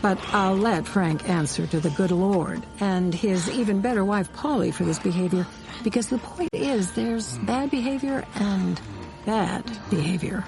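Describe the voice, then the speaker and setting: An actress as a wry, sharp-witted woman spy narrates a radio play. She tells her Cold War memories drily in a medium-pitched voice, with music clearly playing underneath. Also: measured pace, natural voice. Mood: wry, knowing. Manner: dry, wry. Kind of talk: dramatized first-person radio narration. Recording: music bed behind studio narration.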